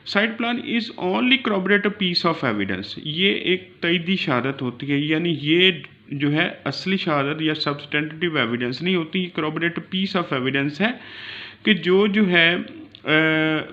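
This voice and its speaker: A man's voice: fairly high pitch, sharp tone. A man with a Pakistani accent giving an explanatory law lecture in a didactic manner, mixing in English terms. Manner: didactic, reads citations slowly then explains. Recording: dull lo-fi recording.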